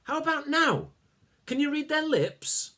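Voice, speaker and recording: Man putting on a squeaky jumpy boy sidekick. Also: sing-song, measured pace, pushed and bright, exaggerated voice. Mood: eager.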